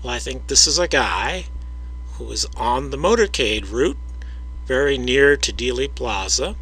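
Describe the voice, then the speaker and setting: A man narrating his own video, explaining his audio analysis in a measured way, with frequent hesitations and direct words to the viewer. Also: medium pitch, expressive, fairly bright tone, husky, poor audio.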